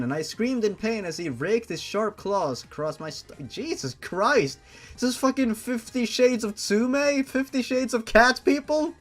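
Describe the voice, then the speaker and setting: A man's voice: sits high, fairly bright tone. A man reading a fan story aloud and breaking in with sarcastic, profane reactions. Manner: sarcastic and reactive, swears freely. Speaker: story reader.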